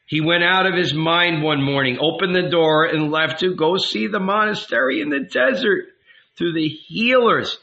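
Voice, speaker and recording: A man voicing a fierce, bitter, toothless old village woman with a shrill, screeching voice, in a dull lo-fi recording. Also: big pitch swings, slow, vocal fry, bright, forceful tone, exaggerated voice. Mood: grieving. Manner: swings from motherly coaxing to furious ranting.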